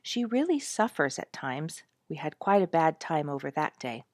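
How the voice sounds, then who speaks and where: Woman reading as a gentle, earnest, protective father. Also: low-pitched, big pitch swings, moderate pace, no echo, clean studio sound. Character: quiet-spoken, protective, proud husband and father.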